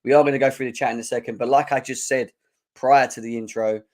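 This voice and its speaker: A young man, his voice hoarse and croaky, hosting a live football fan show and chatting energetically while clearing his throat. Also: mid-range voice, fairly even intonation, quick pace. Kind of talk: live-stream chat.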